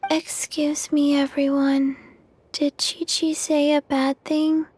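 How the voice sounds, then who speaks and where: A woman voicing a small, forgetful zombie girl in a video game, deadpan and halting, in short simple phrases. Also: sits high, slight background noise. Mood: innocent. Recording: music under the voice.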